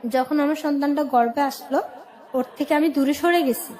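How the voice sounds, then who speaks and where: A woman's voice: sits high, steady tone, normal speed, darkish tone, a faint crowd. A woman with a Bangladeshi accent telling her personal life story as a radio guest, in plain colloquial short phrases.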